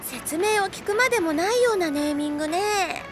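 表情豊かで元気な高い声の女子高生. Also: female voice, very high-pitched, animated. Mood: excited. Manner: brisk, frank casual speech. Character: lively, tomboyish older schoolgirl, frank and easily flustered. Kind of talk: anime audio drama acting. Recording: music behind the voice.

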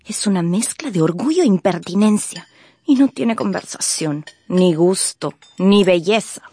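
An actress playing a disdainful, snobbish society sister with a cutting, haughty manner in a radio drama. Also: female voice, mid-range voice, animated, measured pace, mellow, breathy edge, dry recording. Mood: scornful.

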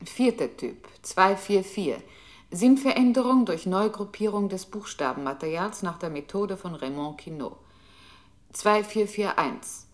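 Woman doing a computer control voice for a radio play, mid pitch, clipped, impersonal, bossy. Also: measured pace, lightly acted. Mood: impersonal, commanding. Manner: impersonal, precise, clipped, procedural. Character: female computer control unit, cold and commanding. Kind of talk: avant-garde radio play, clipped announcing.

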